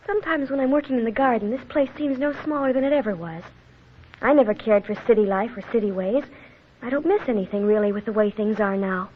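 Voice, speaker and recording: A woman's voice: fairly high pitch, quick pace, natural voice. A woman playing an earnest, plaintive young farm daughter in a 1940s radio drama. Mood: plaintive, unhappy. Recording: muffled, noisy vintage radio recording.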